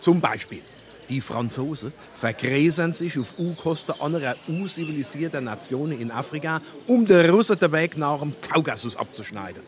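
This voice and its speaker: Pompous Darmstadt family man, played by a male actor, highish animated voice, lecturing comedy delivery. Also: normal speed, close to an everyday voice. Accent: Hessian, Darmstadt dialect. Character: self-important middle-aged family man, newspaper-mad armchair politician. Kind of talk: dialect stage comedy acting.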